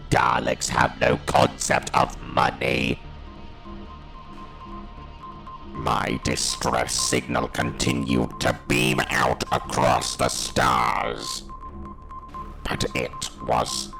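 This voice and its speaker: A man playing a hateful, captive alien war machine in an audio drama, with a harsh mechanical voice and slow, ominous, deliberate delivery. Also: a bass voice, heavily acted. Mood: brooding, bitter. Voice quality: gravelly, harsh, mechanical monster voice.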